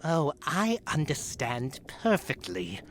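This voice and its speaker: A man's voice: fairly high pitch, huge pitch swings, slow pace, a little hiss or hum, heavily acted. A man playing a snarky, skeptical rodent creature, chatty and sarcastic, in an audio drama. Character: snarky skeptical rodent-folk city dweller.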